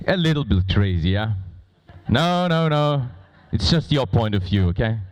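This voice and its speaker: A man who is not a native English speaker moderating a conference on stage, talking informally and playfully with a loud, projecting voice. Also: mid-range voice, animated, normal speed. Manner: playful, bantering with audience. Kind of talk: informal live stage presentation. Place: conference stage before a large audience.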